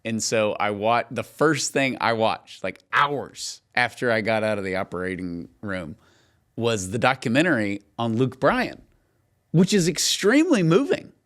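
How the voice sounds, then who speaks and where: Middle-aged male podcast co-host, deep and swooping delivery, ribbing his friends in loose, chatty storytelling. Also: a little slow. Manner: animated, teasing, emphatic, filler-heavy. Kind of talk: casual banter, storytelling.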